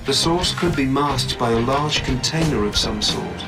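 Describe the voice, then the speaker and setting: A man's voice: mid-range voice, normal speed, close to an everyday voice. Man acting a formal, unflappable AI butler. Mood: composed, reporting. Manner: formal, precise, dryly deferential. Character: polite, unflappable male AI butler assistant. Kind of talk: video game voice acting. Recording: loud music under the voice.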